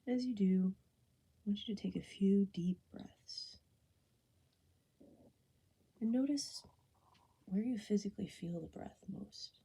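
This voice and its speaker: A woman guiding a body scan meditation, speaking softly and gently in an unhurried, soothing way, often slipping toward a whisper. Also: medium pitch. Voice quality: soft, breathy, often falling into a whisper. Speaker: meditation guide.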